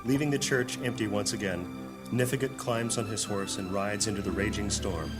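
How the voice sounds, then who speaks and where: A man performing as the solemn storyteller of a high fantasy audio drama, reading scripted dramatic narration. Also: steady tone, moderate pace, lightly acted. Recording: music behind the voice.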